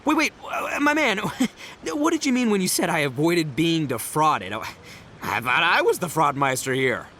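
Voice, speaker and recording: A man voicing a brash, boisterous young male gang leader in a game, loud and slangy. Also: fairly high pitch, big pitch swings, moderate pace, a little hiss or hum. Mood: excitable. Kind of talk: game cutscene voice acting.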